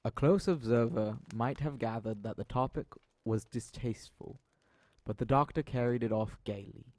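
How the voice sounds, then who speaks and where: Male narrator for literary audio drama, mid-range pitch, scripted storytelling read, dry acoustic with no room. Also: moderate pace, a little mellow, no echo.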